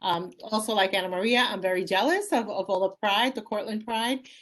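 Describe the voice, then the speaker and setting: A woman's voice: mid-range voice, brisk. Woman from the Bronx, casual. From the Bronx, New York. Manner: warm, informal, with many fillers. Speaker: chief diversity officer. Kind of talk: casual panel introduction.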